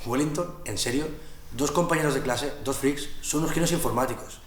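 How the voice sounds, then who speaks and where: A man's voice: mid-range voice, a little fast, close to an everyday voice. A man playing a blunt, sweary, gossipy student who narrates a mockumentary to camera, with an accent from Spain. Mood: indignant. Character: exasperated, foul-mouthed, gossipy male student narrator. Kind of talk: mockumentary dialogue and asides to camera. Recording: roomy sound with music behind.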